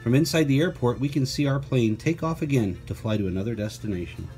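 A man reading a children's picture book aloud as a teacher, pausing for conversational asides and questions to his young listeners. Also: medium pitch, lively intonation, a little mellow, soft background music.

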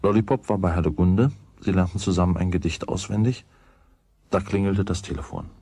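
A man's voice: deep voice, fairly quick, mellow, husky, dry recording. A man narrating a children's radio play as its scripted storyteller. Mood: measured.

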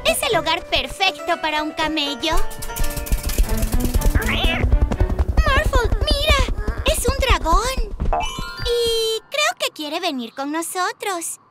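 Brief: plucky little cartoon girl, very high, lively delivery, earnest and cheerful. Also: slow, pauses often, loud music behind the voice, exaggerated voice. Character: brave, cheerful little girl with a magic pet. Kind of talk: Spanish-dubbed children's cartoon.

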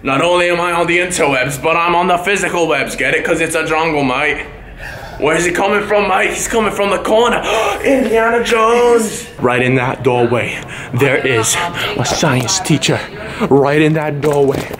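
A man's voice: a little high, moderate pace, voice pushed down, natural voice. A guy doing a brash, bumbling wildlife poacher. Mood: excited. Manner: excitable, keeps tacking "mate" onto lines. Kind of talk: improvised comedy skit. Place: school building, indoors. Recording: echoey halls with crowd chatter. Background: students chattering.

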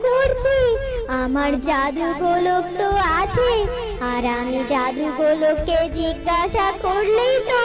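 A woman's voice: extremely high pitch, big pitch swings, full character voice. A woman voicing an anxious, widowed snake queen and mother in a fairy-tale cartoon, brooding aloud in a plaintive manner. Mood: anxious, resolving. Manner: plaintive, drawn-out brooding monologue. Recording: music under the voice.

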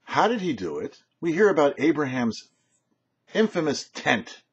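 An older man giving a short religious teaching as a rabbi, emphatic, with rhetorical questions and pauses. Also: a little high, sing-song, husky. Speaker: rabbi and host of a weekly Torah show. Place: small home office, indoors. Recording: dull, lo-fi webcam sound.

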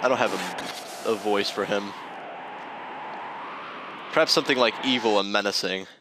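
A man's voice: lowish voice, normal speed, background noise. A man giving joking, rambling commentary while playing a video game. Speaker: let's play host. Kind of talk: casual gaming commentary. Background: game music.

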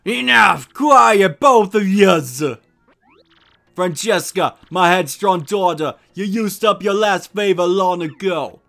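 Guy doing a gruff blustery mob boss dad for a video game, wiseguy slang, music playing. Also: high-pitched, slow, pushed and bright, full character voice. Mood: angry, disappointed. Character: gruff, bossy male mob boss, sentimental doting father.

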